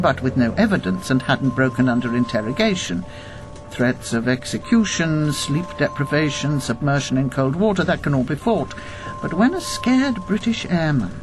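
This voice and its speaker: Steely elderly woman with lively intonation. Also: sits low, poor audio. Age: 70s or older. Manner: precise, deliberate, coldly insistent. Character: steely, accusing elderly woman, former wartime intelligence officer. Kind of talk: radio drama acting.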